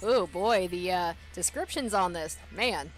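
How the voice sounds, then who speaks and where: A woman's voice: lowish voice, expressive, a little slow, soft background music, rough recording. A woman hosting a let's play, reading a visual novel aloud and adding casual commentary. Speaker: let's play host and narrator.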